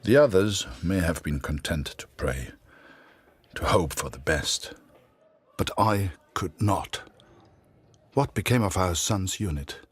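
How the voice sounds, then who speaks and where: A man's voice: deep voice, expressive, slow pace, breathy edge, full character voice. An actor playing an earnest, determined middle-aged father turned army engineer, narrating a letter in a video game. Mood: resolute. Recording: music behind the voice.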